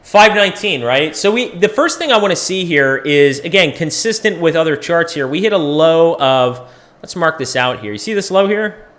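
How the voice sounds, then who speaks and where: Hoarse man walking through price charts. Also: a little high, expressive, moderate pace, a bit bright. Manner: casual, thinks aloud, self-correcting. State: losing his voice, clearing throat. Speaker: crypto chart analyst host. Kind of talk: live chart analysis stream. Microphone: desk microphone.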